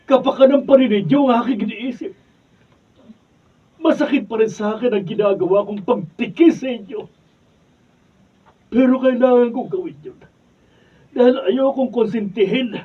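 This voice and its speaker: A man's voice: very high-pitched, slightly rough, heavily acted. An actor playing a hurt old father who reproaches his children, in a radio drama. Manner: sorrowful, reproachful pleading. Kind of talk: 1970s-style radio drama acting. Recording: muffled studio from far off.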